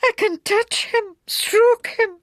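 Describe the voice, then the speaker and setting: Frail, slow-speaking older Scottish woman. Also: extremely high pitch, fairly even intonation, dry recording, clean studio sound. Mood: frail, wistful. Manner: weak, halting, plaintive. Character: ailing stubborn older Scottish woman, feverish and repentant. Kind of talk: radio drama acting.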